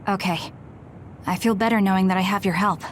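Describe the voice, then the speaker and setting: A woman's voice: mid-range voice, fairly even intonation, fairly quick, slight background noise, lightly acted. A woman playing a serious, determined young soldier in a video game, direct and earnest. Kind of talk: dramatic video game acting.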